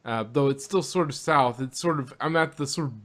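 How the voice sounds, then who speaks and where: An American man from Texas hosting a podcast in casual chat, talking in a halting, self-correcting, jokey way. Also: mid-range voice, a bit bright. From Texas, United States. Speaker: podcast host.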